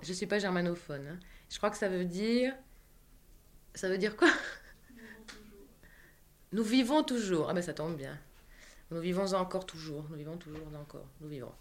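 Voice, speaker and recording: A woman's voice: lowish voice, moderate pace, long pauses. A woman hosting a talk program, speaking in a wry, conversational way with many asides. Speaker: host. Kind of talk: radio interview and commentary.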